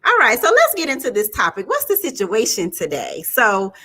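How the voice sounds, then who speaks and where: A woman's voice: a little high, huge pitch swings, normal speed, sharp tone. A woman in her forties hosting a casual live chat as a relationship coach, upbeat and often laughing. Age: 40s.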